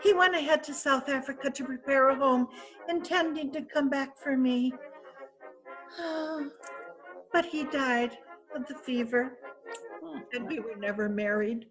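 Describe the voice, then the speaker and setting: Casting: woman reading a boastful society lady, slow and laughing, slightly high voice. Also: steady tone, a bit bright, soft background music, low-quality recording. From San Francisco. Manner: gushing, laughing, slow and theatrical. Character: vain, flirtatious wealthy woman, Mrs. Courtney Page. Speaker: reader in a play reading. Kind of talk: amateur readers' theatre acting.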